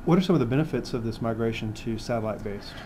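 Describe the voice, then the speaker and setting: Fast-talking male interview host. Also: medium pitch, dark tone, slight background noise. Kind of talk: scripted corporate interview.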